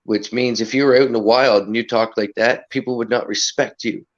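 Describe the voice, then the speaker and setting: A man with a raspy voice hosting a casual livestream chat, rambling earnestly about energy, respect and nature. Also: mid-range voice, steady tone, a little fast, highs cut off. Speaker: livestream host.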